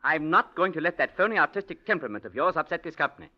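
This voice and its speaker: An actor playing a stern, commanding male ballet director in a 1940s radio drama, brisk and authoritative. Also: a little high, very animated. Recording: dull old radio broadcast, off-mic.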